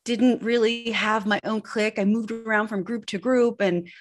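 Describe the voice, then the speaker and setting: A woman's voice: fairly high pitch, normal speed. Earnest woman guest telling her story. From Switzerland, grew up in Northern California. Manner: earnest, confessional, steady storytelling. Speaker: guest, personal branding expert. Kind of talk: candid interview storytelling.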